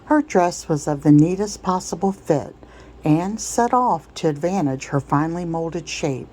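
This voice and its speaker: A woman's voice: lowish voice, lively intonation, measured pace, dark tone. A woman reading a classic novel aloud as ASMR-style literary narration. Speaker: audiobook reader.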